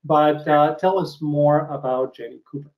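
A man's voice: a little high, fairly even intonation, normal speed. A man in his forties hosting an interview over a video call, asking questions with many hesitations. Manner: hesitant, many fillers and restarts. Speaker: host. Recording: slightly dull video call audio.

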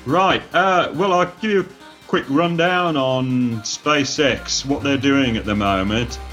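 A man's voice: mid-range voice, slow, a bit bright, faint music behind. A British man from the United Kingdom, an author speaking as a podcast panelist, talking casually with frequent hesitations. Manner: hesitant, lots of "uh" fillers.

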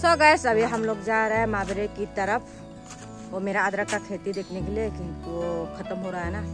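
A woman vlogger in her thirties speaks with a Northeast Indian accent at a middle pitch. She talks casually to her viewers, recorded outdoors on a phone, with clear music behind her. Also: moderate pace. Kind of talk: casual vlog narration to viewers. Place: outdoors in a rural village. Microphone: phone at arm's length.

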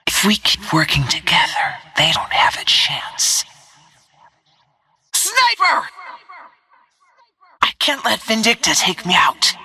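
An actress playing a cold, stealthy female assassin in a video game, speaking in terse, cool, menacing lines with a husky, breathy voice. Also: on the low side, animated, normal speed, few pauses, pushed and bright, heavily acted. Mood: cool approval, then urgent warning. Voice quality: husky, breathy, near-whisper.

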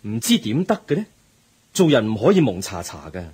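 A man's voice: lowish voice, wildly swooping pitch, a little fast, a little mellow, husky, full character voice. A man with a Hong Kong Cantonese accent playing a teacher in a radio drama, earnestly lecturing a student. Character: patient middle-aged teacher, moralizing and kind.

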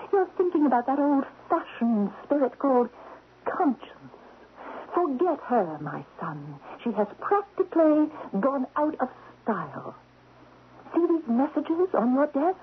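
An actress playing a seductive, commanding statuette queen of thieves in a radio drama, slyly coaxing and deliberate. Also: sits high, big pitch swings. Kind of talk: 1970s radio drama acting. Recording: muffled vintage radio recording with hiss.

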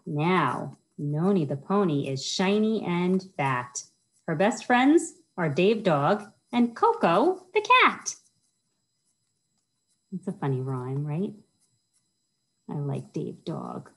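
Slow, low-voiced American woman at storytime. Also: very animated, creaky, breathy edge. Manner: simple, child-directed talk with questions to listeners. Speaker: children's librarian, storytime host. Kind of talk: children's storytime read-aloud with chatty asides. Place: indoors, small wood-panelled room. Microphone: webcam or laptop at arm's length.

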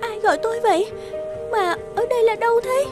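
A woman voicing a gentle, anxious young maiden in a cartoon fairy tale, politely and plaintively. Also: very high-pitched, fairly quick, over music. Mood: confused.

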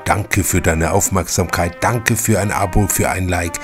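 A man's voice: low voice, fairly even intonation, moderate pace, music in the background. A man hosting with casual, enthusiastic fan commentary, chattily addressing viewers with little tag questions. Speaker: channel host.

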